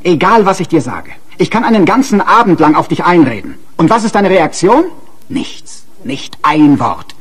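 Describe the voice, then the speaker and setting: A domineering husband in a radio crime drama. The voice is very husky and fairly high, swinging widely in pitch. He is mocking, hectoring and sarcastic. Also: big pitch swings, moderate pace, fairly bright tone, full character voice. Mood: bitter, accusing. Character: domineering, sneering, abusive husband who bullies his wife.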